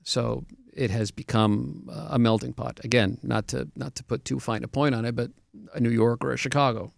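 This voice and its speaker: Argentine guy on a radio show, gravelly low-key voice, explains stuff slow and careful with lots of uhs, dry studio sound. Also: fairly even intonation, no echo. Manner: measured, explanatory, with many ums and uhs. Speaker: guest, real estate broker. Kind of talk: radio interview answers.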